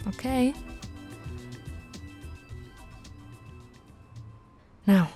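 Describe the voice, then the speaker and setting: A woman voicing a patient, teasing female police officer in an ASMR audio roleplay, speaking softly and coaxingly to the listener, recorded close to the mic. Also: on the high side, moderate pace, soft background music, close to an everyday voice. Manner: soft-spoken, coaxing, playfully flirtatious. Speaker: ASMR voice actress.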